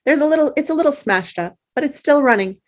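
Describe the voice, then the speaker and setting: A woman's voice: high-pitched, lively intonation, quick pace. Woman reading stage directions aloud. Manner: stumbles on words while reading. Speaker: narrator, reads action lines. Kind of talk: script reading.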